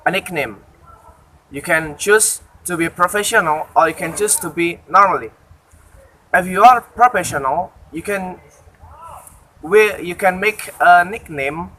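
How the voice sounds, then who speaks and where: A young man giving a halting step-by-step demonstration in simple non-native English, outdoors. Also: sits high, pushed and bright. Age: young adult. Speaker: student demonstrator. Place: outdoors beside a campus building. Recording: faintly noisy outdoor recording.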